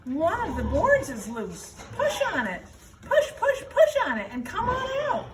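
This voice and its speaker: A woman voicing a fast-talking, bossy but friendly female goose in a children's story, repeating phrases in rapid stutters. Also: high voice, wildly swooping pitch, reverberant, full character voice. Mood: urging, friendly. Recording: noisy room, distant microphone.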